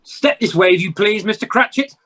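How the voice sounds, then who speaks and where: An amateur actor plays an old miser uncle who has found his heart. The delivery is theatrical and deliberate, with emphatic, teasing repentance, as in a radio play recorded over a home video call. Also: medium pitch, animated, a bit bright. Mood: mock stern.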